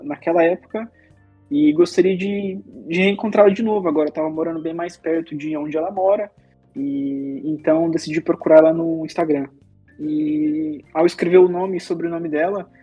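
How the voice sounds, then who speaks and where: A young man from Brazil, a guest, telling a personal story in an unhurried, hesitant way with a husky voice. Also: fairly high pitch, warm tone. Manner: unhurried, hesitant with many "é" fillers. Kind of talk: casual storytelling. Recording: dull lo-fi sound.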